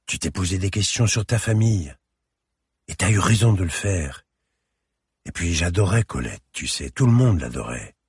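A man's voice: deep voice, animated, moderate pace, darkish tone, husky, no echo, studio quality, heavily acted. A man voicing a tender, plain-spoken father who admits he is no good with words, in an audiobook. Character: gentle, awkward father comforting his daughter.

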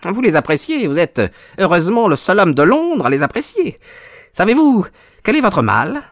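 A sneering, cruel storybook villain. The voice sits high and swings about as he taunts with mock politeness. It is recorded dry, with faint music behind. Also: very animated, moderate pace, dry recording. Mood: mocking, gloating. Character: sneering, gloating villain, a cruel male visitor. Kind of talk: audiobook character acting.

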